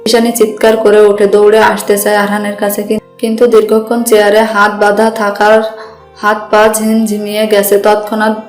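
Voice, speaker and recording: A woman's voice: mid-range voice, monotone, normal speed, a little mellow. A woman narrating a dramatic scripted story. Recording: roomy echo with music bed.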